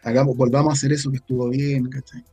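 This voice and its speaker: Reflective Chilean man, slightly mellow voice. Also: medium pitch, little pitch movement, husky, lo-fi sound. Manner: reflective, halting as he searches for words. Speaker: guest, audio drama creator. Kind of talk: casual interview answers.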